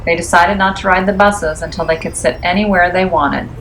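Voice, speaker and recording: Low-pitched woman reading a picture book. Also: steady tone, fairly quick, a bit bright, a little hiss or hum, rough recording. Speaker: read-aloud storyteller. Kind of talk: scripted children's picture book narration. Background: music behind the voice.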